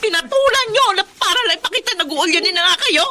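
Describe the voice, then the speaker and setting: A woman's voice: very high voice, expressive, fast talker, a loud crowd. A woman playing a bitter, unforgiving grown daughter in an emotional radio drama, scolding with scorn. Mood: indignant, mocking. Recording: very noisy drama track.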